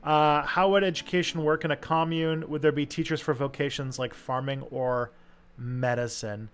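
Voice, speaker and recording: A man from Canada hosting a casual livestream chat, talking loosely with lots of fillers and pauses. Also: fairly high pitch, steady tone, a bit bright, a little hiss or hum. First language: English. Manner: chatty, hesitant, many fillers. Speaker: host.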